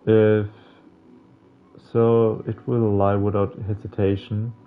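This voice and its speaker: A man casually reading a visual novel's text aloud as a playthrough host, halting at times and adding offhand asides. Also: on the low side, little pitch movement, narrow, tinny audio. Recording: game music behind the voice. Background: game music.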